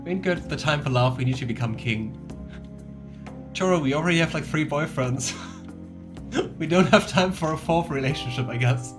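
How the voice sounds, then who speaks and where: Low voiced streamer lady, playful casual chat, loud game music behind her. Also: animated, moderate pace, pauses often. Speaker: streamer playing and voicing a video game. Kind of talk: casual livestream commentary, chatting with viewers.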